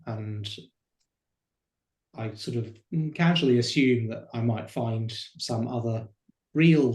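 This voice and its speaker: A man's voice: fairly low pitch, a little mellow, reverberant. A male translator answering on a panel, dry and self-deprecating.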